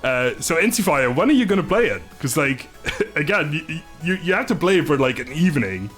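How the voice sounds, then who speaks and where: A man's voice: a little high, sing-song, fairly quick, fairly bright tone. Young dutch guy on stream, chatty, laughs a lot, trips over his words, a bit of music behind. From Netherlands. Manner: chatty, laughs often, stumbling restarts, swears casually. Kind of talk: casual live-stream chat reading chat.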